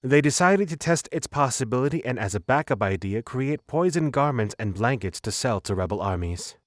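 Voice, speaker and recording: A male narrator reads a scripted fantasy story. His voice sits at a middle pitch. The recording is very dry, with hardly any room sound. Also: animated, normal speed, no echo.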